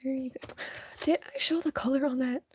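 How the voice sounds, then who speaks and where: A young woman chatting casually on a live stream while she draws, soft and half-whispered, sniffly, her voice going hoarse. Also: sits high, steady tone, brisk, background noise. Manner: soft, often half-whispered, hesitant and rambling.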